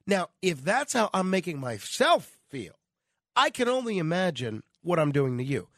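A man's voice: high voice, sing-song, normal speed, a bit bright, no echo, studio quality. A man hosting conversational talk radio, his voice nasal and stuffed up with a cold. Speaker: radio host.

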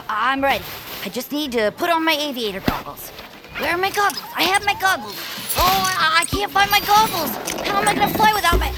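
A woman playing an excitable young inventor creature with dreams of flying, breathless and exclaiming, in a cartoonish audio drama. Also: high voice, heavily acted. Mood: eager, then flustered. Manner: breathless, exclaiming, talks to self. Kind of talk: audio fiction cartoon acting.

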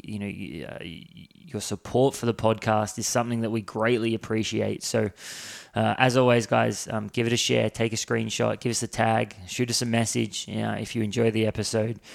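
A man hosting a podcast, his voice hoarse from a sore throat and gravelly with fry. He talks low and flat, wandering through his introduction with plenty of fillers and restarts. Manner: rambling, many fillers and restarts. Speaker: podcast host. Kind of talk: solo podcast episode introduction.

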